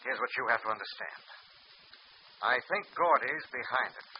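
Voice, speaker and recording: An actor in a 1940s radio drama playing a brusque, bullying gangster who talks in a curt, contemptuous way. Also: male voice, mid-range voice, big pitch swings, moderate pace, vocal fry. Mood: sneering. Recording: dull old radio broadcast with faint hiss.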